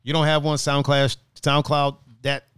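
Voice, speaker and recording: A man hosting a casual podcast chat, talking in a playful, animated way full of slang and laughter. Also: a little high, moderate pace, clear, resonant tone, no echo. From another country, raised partly on the East Coast. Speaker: podcast host.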